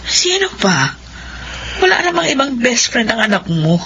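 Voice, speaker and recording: A woman's voice: on the high side, moderate pace, creaky, dull audio, slight background noise, heavily acted. An actress playing an anguished, caring mother in an emotional Filipino radio drama. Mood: weary, worried. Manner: emotional, expressive. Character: anguished, caring mother of an ailing adult son. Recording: radio drama with loud music underneath.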